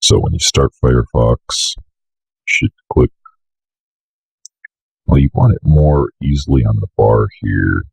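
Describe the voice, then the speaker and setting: A man's voice: very deep voice, animated, a little slow, gravelly, long pauses, darkish tone, breathy edge, no echo. A young man giving a casual screen-share tutorial, rambling and thinking aloud as he works. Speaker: tutorial presenter. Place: indoors at a computer desk.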